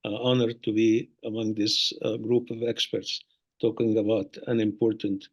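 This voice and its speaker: Flat-toned professor on a dull line. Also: male voice, lowish voice. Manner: polite, formal, measured with "uh" fillers. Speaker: professor, panel guest.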